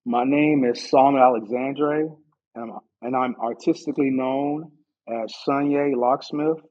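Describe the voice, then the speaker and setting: A man with an American accent, talking casually as an interview guest, haltingly repeating phrases as he recounts his experience. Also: medium pitch, fairly even intonation, darkish tone, dull audio. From Orlando, Florida, United States. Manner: halting, repeats phrases, checks in with "you know". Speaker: podcast guest, martial arts instructor. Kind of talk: casual conversational storytelling.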